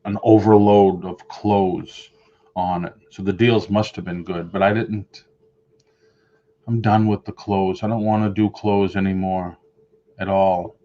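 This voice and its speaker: A man's voice: low voice, flat delivery, unhurried, warm tone, lo-fi sound. Chatty Boston-accented man on a stream. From Boston area. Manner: rambling, chatty, reads and answers viewer comments. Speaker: live stream host. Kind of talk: casual live-stream chat. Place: home room with shelves of collectibles.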